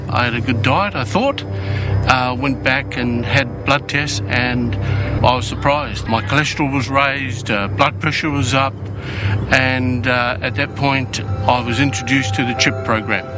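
A man giving a personal testimonial in a plain storytelling way, with some hesitations. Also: medium pitch. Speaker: testimonial speaker in a promo. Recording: clear music bed behind the voice.

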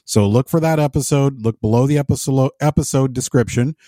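A man's voice: medium pitch, a little fast, dark tone, dry recording, studio quality. A man hosting a podcast and wrapping up an interview in an upbeat, friendly way. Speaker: podcast host. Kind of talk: podcast wrap-up.

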